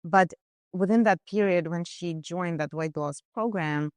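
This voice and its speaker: Halting woman nutritionist, smooth clear voice. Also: lowish voice, no room sound. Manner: hesitant, many fillers and restarts. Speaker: nutritionist guest. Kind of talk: conversational case discussion.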